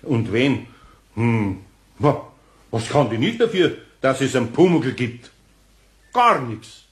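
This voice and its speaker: A man's voice: mid-range voice, sing-song, slow. A man with a Bavarian accent playing a good-natured, grumbling older craftsman in a children's audio play, homely and fatherly. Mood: worried. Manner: homely, muttering, soothing.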